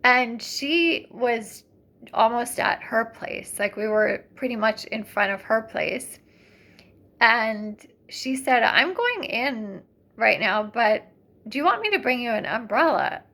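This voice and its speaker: Higher-voiced woman telling a casual story. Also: slow pace. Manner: chatty, rambling, animated when recounting dialogue. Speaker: vlogger.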